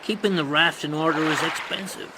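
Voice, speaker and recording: A man's voice: fairly high pitch, fairly quick, very noisy, close to an everyday voice. An actor playing a sly, mocking old male ferryman who gives dry, clipped answers in a game. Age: older. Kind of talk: game voice acting.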